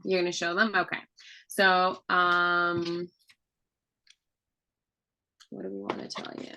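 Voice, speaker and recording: A woman's voice: on the low side, level tone, slow, creaky, sharp tone, poor audio. A woman and mother, a children's book author, chatting casually on a video call and teaching children with questions and fun facts. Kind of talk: casual read-aloud talk with children.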